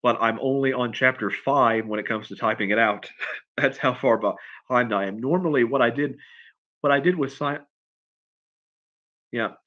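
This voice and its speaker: A man chatting casually on a livestream as a writer answering questions, in a halting, rambling way, often repeating and restarting his phrases. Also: medium pitch, lo-fi sound. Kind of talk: casual unscripted chat, answering viewer questions.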